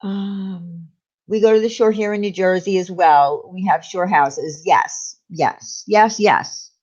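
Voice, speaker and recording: Slow, chatty New woman. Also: lowish voice, darkish tone. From New York. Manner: chatty, rambling, laughs easily. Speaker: livestream host. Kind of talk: casual live chat reading viewer comments. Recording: dull lo-fi livestream audio.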